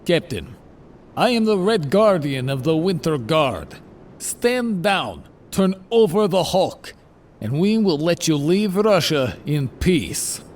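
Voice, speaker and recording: A man playing a stern male superhero leader who makes formal, measured demands. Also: fairly high pitch, very animated, a little hiss or hum. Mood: commanding. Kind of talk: fan audio drama acting. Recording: voice over music score.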